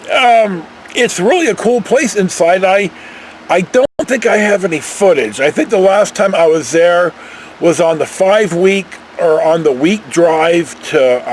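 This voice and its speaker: An older American man with a notably high voice tells casual, folksy stories. His pitch swings widely as he rambles along with animated emphasis. There is faint vehicle-like noise behind him. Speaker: vlogger telling personal travel stories.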